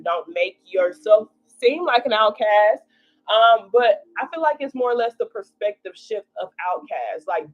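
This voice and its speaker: A woman in her twenties giving a casual live tarot reading, talking informally and reassuringly straight to her viewers. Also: mid-range voice. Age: 20s. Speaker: tarot reader hosting a livestream. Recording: dull lo-fi livestream audio.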